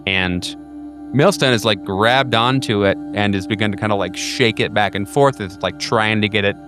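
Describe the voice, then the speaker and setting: A man's voice: lowish voice, normal speed, over music. A man narrating as game master of a horror tabletop game, rambling with fillers and restarts.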